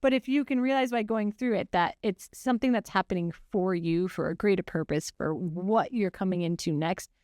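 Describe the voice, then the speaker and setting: A woman's voice: mid-range voice, moderate pace, few pauses, a little mellow, no room sound. Earnest woman in her forties, dry sound. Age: 40s. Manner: earnest, reflective, laughs easily. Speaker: podcast co-host. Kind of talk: casual conversation.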